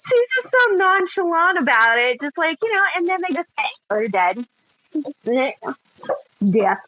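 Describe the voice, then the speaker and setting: A woman co-hosting a podcast in casual chat, excitable and quick to laugh and exclaim. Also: very high voice, lo-fi sound. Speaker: podcast co-host.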